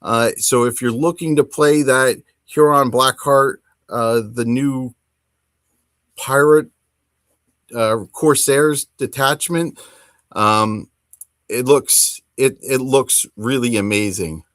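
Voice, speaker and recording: A middle-aged man hosting a casual hobby podcast, talking enthusiastically in a rambling, halting way. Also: mid-range voice, fairly bright tone, dry recording, studio quality. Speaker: podcast host.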